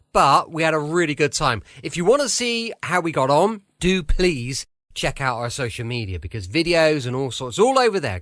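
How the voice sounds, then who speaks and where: An English man hosts a podcast in a chatty, welcoming way. His voice sits a little high and swings expressively up and down. The sound is dry, with the informal cosiness of a small home studio. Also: fairly bright tone, dry recording. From southwest United Kingdom. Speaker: podcast host.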